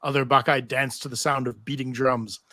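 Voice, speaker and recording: A man's voice: medium pitch, level tone, normal speed, pushed and bright, dry recording. A man narrating stage directions in a live table read of a TV script.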